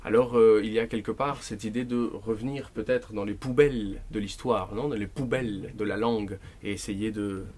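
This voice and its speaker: Low-voiced man, thoughtful author talk. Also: fairly even intonation, a little mellow. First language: Spanish. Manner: thoughtful, restarts often, slow and deliberate. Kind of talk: bookshop talk, reading and answering. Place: bookshop event.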